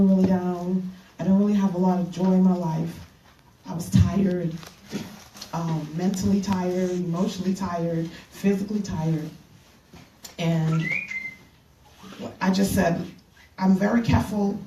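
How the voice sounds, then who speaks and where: A woman's voice: fairly low pitch, warm tone, distant mic, slight background noise. Earnest Bahamas-born woman preacher, echoey room. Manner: earnest, halting with fillers and restarts. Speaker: keynote speaker and minister. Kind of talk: retreat keynote talk. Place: conference room. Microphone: handheld mic.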